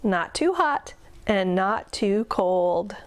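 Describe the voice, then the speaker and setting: A woman's voice: mid-range voice, expressive, slow pace. Woman reading as a plain-spoken young girl. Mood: matter-of-fact. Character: young girl explorer on a dogsled. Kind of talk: storybook reading.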